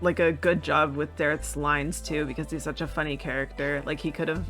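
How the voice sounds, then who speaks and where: A man with a bright, forward voice on the higher side talks casually as a fan podcast panelist. Music plays behind him. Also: level tone, a little fast.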